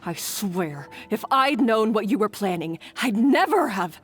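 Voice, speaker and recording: A woman's voice: mid-range voice, expressive, measured pace, natural voice. A woman playing a coerced, resentful young female accomplice in an anime-style video game.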